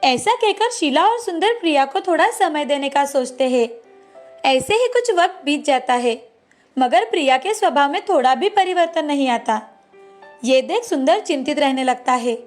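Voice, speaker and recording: A woman's voice: high voice, lively intonation, moderate pace, soft background music. A woman narrator reading a scripted moral bedtime story.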